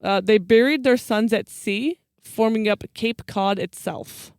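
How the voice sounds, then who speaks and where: A woman's voice: mid-range voice, unhurried, darkish tone, no room sound, clean studio sound. A woman co-hosting a podcast, telling folklore in a casual, teasing, storytelling way. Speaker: podcast co-host. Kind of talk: casual banter about folklore.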